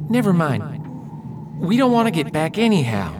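A man's voice: fairly high pitch, very animated, normal speed, noisy background. A man playing a spirited young traveler with a blunt, frank manner in a radio play. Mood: defiant. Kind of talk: radio theater acting.

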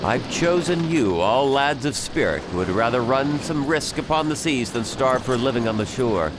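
An actor playing a commanding, calculating pirate captain, declaiming orders and speeches in a radio drama. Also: male voice, mid-range voice, expressive, normal speed, background noise, close to an everyday voice. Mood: rousing, resolute.

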